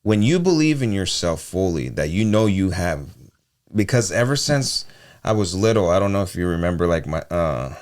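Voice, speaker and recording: A man with a deep voice co-hosting a podcast in casual chat, often restarting his sentences. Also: sing-song, moderate pace, darkish tone. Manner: restarts and trails off often, conversational. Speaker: podcast co-host and freelance voiceover artist.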